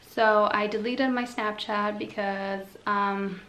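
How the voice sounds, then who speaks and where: Young woman YouTuber, Slovene native, relaxed chatty vlog delivery, echoey room. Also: medium pitch, slow pace, slight background noise. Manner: chatty, with frequent fillers. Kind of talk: casual Q&A vlog.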